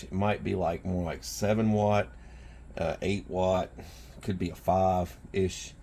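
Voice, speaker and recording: A man hosting a gear video, talking to viewers in an informal, rambling, folksy way with a rough, husky voice. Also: lowish voice, a little mellow. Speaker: survival gear video host. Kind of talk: casual product showcase talk to viewers. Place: indoors in a house.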